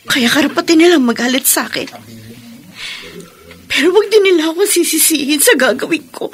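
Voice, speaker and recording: A woman's voice: high-pitched, lively intonation, fairly quick. A grieving young mother. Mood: sorrowful. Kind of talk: radio drama acting.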